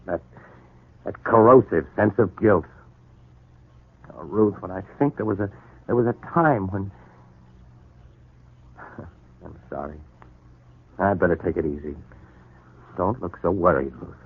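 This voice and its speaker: Guilt-ridden husband, vintage radio serial. Deep, deliberate, tender, narrow-band old recording. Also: male voice, slightly rough, full character voice. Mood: remorseful, tender. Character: troubled, guilt-ridden, grateful husband and father. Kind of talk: 1940s radio soap opera acting. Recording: muffled, narrow 1940s radio broadcast recording.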